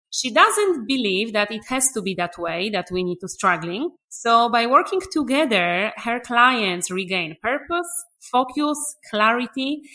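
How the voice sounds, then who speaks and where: A woman's voice: on the high side, bright, forceful tone. A woman hosting a motivational podcast, talking in an enthusiastic, encouraging way. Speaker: podcast host.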